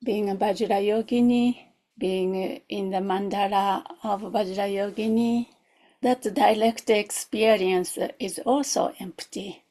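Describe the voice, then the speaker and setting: A woman teaches guided meditation at an unhurried pace. Her voice is mellow and slightly husky, with some creak. She speaks reflectively in short, spaced phrases, often posing questions. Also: medium pitch. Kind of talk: guided meditation, gentle instruction.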